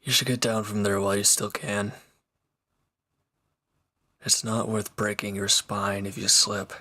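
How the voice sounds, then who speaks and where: A man playing an injured, weary villain in a roleplay audio drama, guarded and quietly considerate, speaking in halting, hesitant lines. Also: level tone, dry recording, clean studio sound. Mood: dry, louder. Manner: hesitant, halting, low-key, grudging. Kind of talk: roleplay audio drama, intimate one-sided dialogue.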